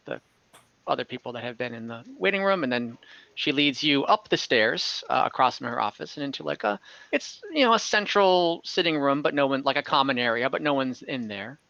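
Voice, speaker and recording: A man narrating scenes as game master of an online tabletop game, halting often and restarting with fillers as he describes what happens. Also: mid-range voice, vocal fry, bright, forceful tone, no room sound, highs cut off.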